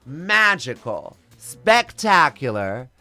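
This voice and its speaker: A man's voice: a little high, big pitch swings, slow, sharp tone, no room sound. Flamboyant man with a strong voice. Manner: flamboyant, theatrical storyteller with big swoops. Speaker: drag queen guest. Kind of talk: casual interview chat.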